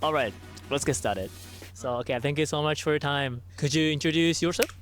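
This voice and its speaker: Friendly male video interviewer, raised pitch, courteous and upbeat, faint music behind. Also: fairly quick, a bit bright. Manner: polite, upbeat presenter. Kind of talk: channel intro and interview.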